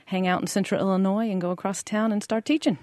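A woman's voice: mid-range voice, fairly even intonation, quick pace, darkish tone, no echo, clean studio sound. An American woman from Georgia, a college teacher, answering interview questions informally and thinking aloud as she goes. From Georgia, United States.

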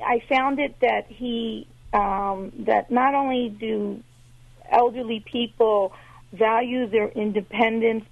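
A woman's voice: creaky, no room sound. A woman caller, speaking hesitantly with many fillers. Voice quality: high-pitched. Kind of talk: phone-in question. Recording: tinny phone line.

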